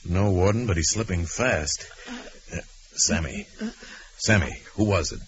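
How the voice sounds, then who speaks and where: Commanding low-voiced radio crime fighter. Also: male voice. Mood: grave, pressing. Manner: deliberate, authoritative. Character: calm commanding male crime-fighting lama detective. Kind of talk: old-time radio drama acting. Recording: dull, hissy old radio recording.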